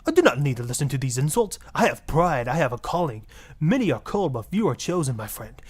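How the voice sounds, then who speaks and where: A flamboyant fake-Latin love guru. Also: male voice, medium pitch, very animated, fast, breathy edge. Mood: indignant, proud. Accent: put-on Latin. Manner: grandiose, preachy, easily offended. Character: flamboyant self-righteous fake-Latin marriage guru and pimp. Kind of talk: parody radio talk-show guest.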